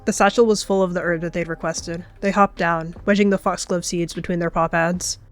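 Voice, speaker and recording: A woman's voice: lowish voice, fairly even intonation, brisk, soft background music. A woman narrating a story in an online roleplay game, in a storytelling style.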